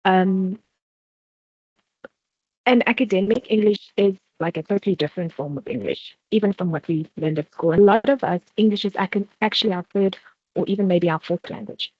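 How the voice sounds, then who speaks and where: A South African woman leads a university study workshop in a steady, explanatory way, pausing on "um" and restarting her phrases. The sound is dull and lo-fi, with a dry, close quality and no room around her voice. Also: mid-range voice, no echo. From South Africa, Free State. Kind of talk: online exam-preparation workshop talk.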